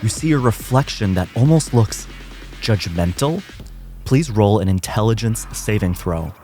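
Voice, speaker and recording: A man narrating a tabletop game with a calm, descriptive delivery. His voice sits fairly low, with music playing behind. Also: measured pace. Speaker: game master.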